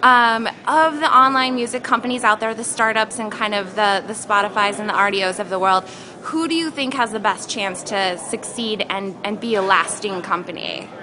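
A woman's voice: medium pitch, normal speed, a crowd in the background. A woman interviewer, off camera, asking casual questions in an upbeat way, with frequent fillers.

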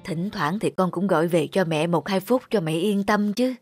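A woman voicing a worried, self-sacrificing elderly village mother in a cartoon, gentle and plaintive in manner. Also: fairly low pitch, moderate pace, dry recording, studio quality, faint music behind, lightly acted. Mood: tender. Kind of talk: animated moral tale voice acting.

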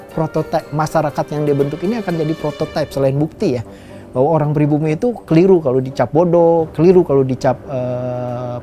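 A middle-aged man, a historian, explaining thoughtfully in an interview among bookshelves indoors. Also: fairly high pitch. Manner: thoughtful, fillers like gitu ya.

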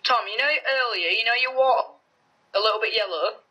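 Husky teenage boy, deadpan. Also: very high voice, fairly quick, dull audio. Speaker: co-commentator. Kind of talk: casual chat over a wrestling video game.

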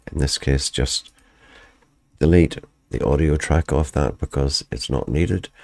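A man with an extremely low, deep voice talks through a software editing tutorial one step at a time. He is calm and methodical, with a slight croak left over from the flu. The sound is dry and close, with no room echo. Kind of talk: screen-recorded software tutorial.

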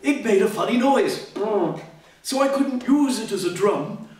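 Friendly, hemming animal pal for a children's story played by a man, high and animated, distant in an echoey room. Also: unhurried. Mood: helpful. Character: friendly, hesitant animal pals of a storybook robot. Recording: echoey hall, distant mic, faint noise.

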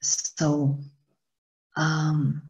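A woman's voice: low voice, monotone, drawn-out words, darkish tone, husky. A woman in her sixties with a Greek accent, teaching a meditation workshop over a video call, hesitant and full of fillers. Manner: hesitant, many fillers, searches for words. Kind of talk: slow, halting workshop talk. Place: home room, indoors. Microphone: video call webcam. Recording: dull lo-fi video call audio.

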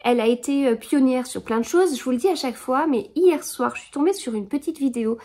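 Chatty woman with a mellow voice. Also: high voice, fast. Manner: chatty, rambling, with many fillers and restarts. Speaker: book podcast host. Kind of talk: casual chat about books. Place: living room in front of a bookshelf.

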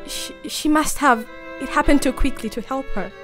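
A woman playing a frail, frightened young gentlewoman in a radio play, gentle and brave. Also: high-pitched, moderate pace, over music, natural voice. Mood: panicked, pleading. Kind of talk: radio play acting, partly diary narration.

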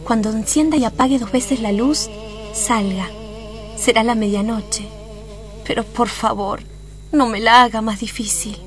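An actress playing an anxious, secretive young sweetheart in a tango radio play, pleading dramatically with an Argentine accent. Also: female voice, a little high, a little slow, natural voice. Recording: music behind the voice.

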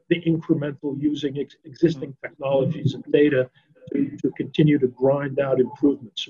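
An older man speaking off the cuff on a video call, measured and halting, repeating words as he thinks. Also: a little high, dark tone, slightly rough. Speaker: panelist in a business discussion. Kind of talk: unscripted conference discussion. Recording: slightly dull video-call audio.

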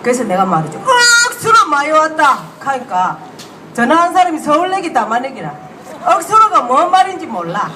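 A performer playing a boastful, brash old grandmother with a Gyeongsang accent, loud and rough-spoken as she teases a rally crowd. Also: high-pitched, very animated, moderate pace. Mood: brash teasing. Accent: Gyeongsang dialect. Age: older. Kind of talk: comic character act at a rally. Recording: distant PA sound with loud crowd and noise.

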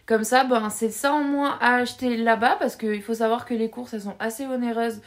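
A woman's voice: a little high, fairly even intonation, brisk. A young woman from France vlogging from her bedroom, chatting casually and directly to the viewer with frequent fillers. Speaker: travel vlogger. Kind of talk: casual talking-head vlog. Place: bedroom with shelves, indoors.

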